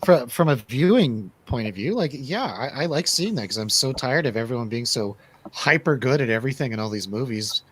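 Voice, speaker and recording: A man of average pitch talks through his opinions on a film in a loose, casual panel style. He often doubles back and repeats a phrase to stress it. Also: expressive, brisk, a bit bright, breathy edge. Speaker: movie review panelist. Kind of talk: casual opinionated movie chat.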